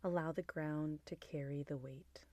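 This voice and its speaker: A woman guiding a body scan meditation in a soft, gentle, soothing manner, with phrases trailing into a near-whisper. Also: low voice, fairly even intonation, normal speed, dry recording. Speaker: meditation guide.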